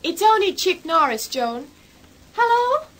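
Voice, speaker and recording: A pert sixteen-year-old girl in a vintage radio serial. Her voice is extremely high and lively, with an American accent and an impatient edge. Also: measured pace, heavily acted, rough recording. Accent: put-on American. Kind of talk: 1940s radio soap acting.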